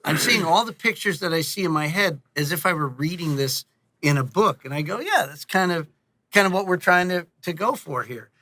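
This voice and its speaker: A man's voice: a little high, expressive, brisk, bright, forceful tone, no room sound. A middle-aged man chatting casually as a podcast guest, telling anecdotes in a conversational way. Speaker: guest, audio series creator. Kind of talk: casual podcast interview chat.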